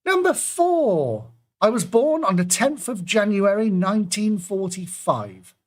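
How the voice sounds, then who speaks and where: A man's voice: high voice, very animated, measured pace. Chatty man reading pub quiz clues. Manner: chatty asides between clues, jokey.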